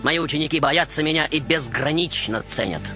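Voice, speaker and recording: A man playing a proud, stubborn baroque composer, telling his story as a theatrical radio monologue with rhetorical pauses. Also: fairly high pitch, over music, lightly acted. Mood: grave, proud. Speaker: radio show host in character. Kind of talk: dramatic first-person radio monologue.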